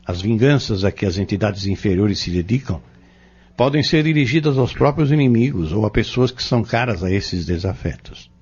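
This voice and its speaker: An actor playing a patient spiritist mentor in an audio drama, with a Brazilian accent and a formal, measured, preacherly delivery. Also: male voice, fairly low pitch, lively intonation, a little mellow, breathy edge. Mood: earnest, instructive. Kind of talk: audio drama acting, didactic monologue. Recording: dull lo-fi audio.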